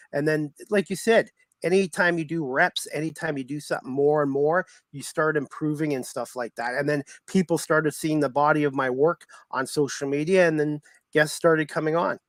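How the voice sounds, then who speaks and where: Higher-voiced man hosting a sports podcast. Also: a bit bright, dry recording. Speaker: sports talk show host. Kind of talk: casual sports podcast interview.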